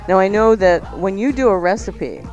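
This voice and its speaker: A woman's voice: mid-range voice, expressive, normal speed, darkish tone, muffled recording, faint music behind. A woman co-hosting a cooking radio show in casual, chatty style.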